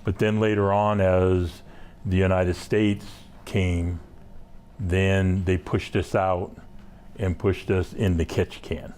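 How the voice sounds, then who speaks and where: A man from Southeast Alaska, a guest on a talk show, telling his family history in an unhurried, halting way with many fillers. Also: low-pitched, level tone, a little mellow, a little hiss or hum. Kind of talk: casual interview storytelling.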